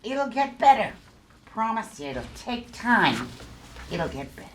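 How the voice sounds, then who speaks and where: A woman's voice: mid-range voice, sing-song, unhurried. A woman playing a brash, sardonic but sentimental friend in a live comedy table read, drawling for effect. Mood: tender, awkward. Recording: noisy live theater recording.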